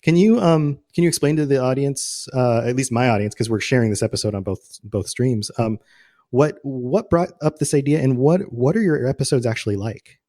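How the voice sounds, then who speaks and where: A man's voice: medium pitch, normal speed, dark tone. A man hosting a podcast interview in a casual, conversational style.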